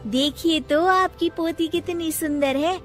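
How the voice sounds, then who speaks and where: Female performer as placating young mother and daughter-in-law, raised bright voice, storybook drama with music underneath. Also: extremely high pitch, unhurried, pitched above the performer's own voice. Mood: coaxing. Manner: placating, respectful.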